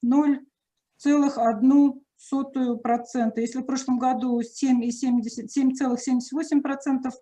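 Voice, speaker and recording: A woman with a higher, mellow voice reads out statistics at a formal government meeting. She hesitates often and corrects herself mid-phrase. Her delivery is the plain, careful tone of an official report. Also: flat delivery, normal speed. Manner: hesitant, many fillers and self-corrections, reading figures.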